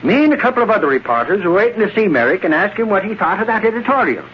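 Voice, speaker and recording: An actor playing an excitable, long-winded Irish newspaper reporter with a blustery manner in old radio drama style. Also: male voice, sits high, very fast. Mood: eager, garrulous. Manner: blustery, rambling. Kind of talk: 1930s-40s radio drama acting. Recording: muffled noisy vintage radio broadcast.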